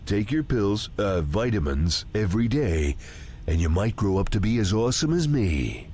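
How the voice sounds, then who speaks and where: An actor playing a cocky, macho action hero in a video game, boastful and deadpan. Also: male voice, fairly low pitch, moderate pace, a little hiss or hum. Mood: cocky, gruff.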